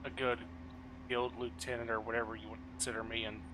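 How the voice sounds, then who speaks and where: A man's voice: mid-range voice, level tone, quick pace, background noise. A man leading a group of players, talking casually and jokingly during a game. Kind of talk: casual gaming chat.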